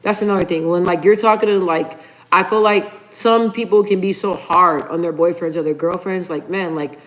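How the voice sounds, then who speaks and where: A woman's voice: on the low side, fairly even intonation, moderate pace, fairly bright tone, poor audio. A woman in her thirties hosting a casual livestream, chatting informally with viewers and reading their comments aloud. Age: 30s. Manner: chatty and informal, addresses viewers as "you guys". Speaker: livestream host.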